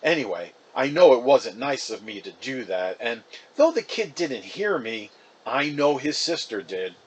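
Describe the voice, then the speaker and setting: Animated, husky male storybook reader. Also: medium pitch, measured pace, rough recording. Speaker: reader of a children's novel. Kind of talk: expressive storybook narration in a boy's first person.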